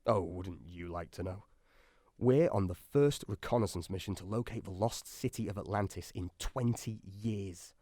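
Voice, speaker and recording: A man's voice: low-pitched, normal speed, dark tone, no echo, studio quality. A man acting in a radio drama as a wry, anxious science officer aboard a submarine, chatty and dryly sarcastic.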